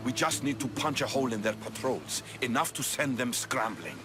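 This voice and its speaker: An actor playing a proud, earnest Spartan military leader in a video game, speaking tersely. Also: male voice, medium pitch, lively intonation, normal speed, over music, natural voice. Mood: serious. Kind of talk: video game cutscene acting.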